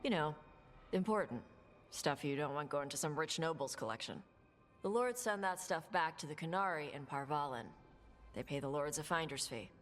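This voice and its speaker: A woman playing a blunt, laconic young dragon hunter and treasure seeker in a video game, talking gruffly and informally. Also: sits low, expressive, normal speed. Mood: earnest, plain. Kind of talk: video game dialogue acting. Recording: music under the dialogue. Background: game music.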